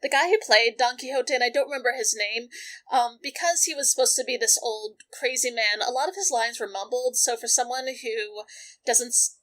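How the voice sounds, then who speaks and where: Woman cohost chatting on a podcast, kinda high voice, lots of ums. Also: a little fast, fairly bright tone. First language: not Japanese. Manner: chatty, many "um"s, thinks aloud. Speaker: podcast co-host. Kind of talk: casual chat.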